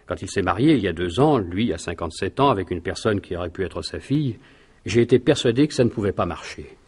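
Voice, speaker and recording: An actor playing a loyal older manservant in a French radio drama, formal, deferential and hesitant. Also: male voice, deep voice, expressive, fast, darkish tone, husky. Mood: shaken, confiding. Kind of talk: 1950s-style French radio detective drama acting.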